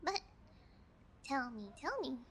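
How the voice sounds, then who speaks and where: Woman acting a slow, high-voiced sadistic kidnapper. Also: very animated, fairly bright tone, a little hiss or hum, exaggerated voice. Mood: mocking, amused. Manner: teasing, sing-song menace with drops into whispers. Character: sadistic, playful female yangire kidnapper, sociopath. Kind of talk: ASMR audio roleplay, intimate monologue.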